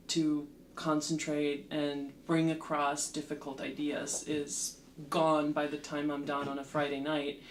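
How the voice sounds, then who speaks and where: Slow, measured woman. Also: sits low. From Slovakia. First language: Slovak. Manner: measured, with frequent ums and restarts. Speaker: translator and lecturer, guest. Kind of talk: thoughtful interview answers. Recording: roomy echo with faint background hum.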